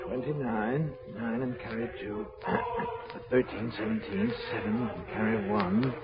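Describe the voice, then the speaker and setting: An actor playing a meek, deferential, good-hearted clerk in a 1930s radio drama. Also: male voice, lowish voice, steady tone, a little slow, over loud music. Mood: absorbed, muttering. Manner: deferential, hesitant. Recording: noisy, muffled 1930s radio recording.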